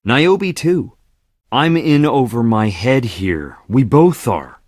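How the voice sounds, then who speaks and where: A man's voice: medium pitch, expressive, slow, a little mellow, no echo, studio quality. An actor playing a nervous young man who is in over his head, earnest and anxious, in a video game.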